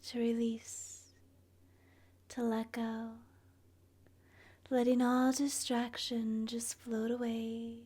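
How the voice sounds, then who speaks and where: A woman softly narrating an ASMR guided meditation in a breathy, whispery voice, gentle and soothing, leaving long pauses. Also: fairly high pitch.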